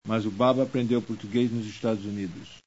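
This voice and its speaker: A man reading language course drills in slow, deliberate, evenly paced sentences. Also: on the low side, fairly even intonation, a little mellow. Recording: dull lo-fi course tape.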